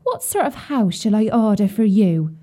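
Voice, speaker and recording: A woman voicing an old, dying mother pig who speaks earnestly and a little louder, full of care for her young. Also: mid-range voice, lively intonation, moderate pace, natural voice. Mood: tender.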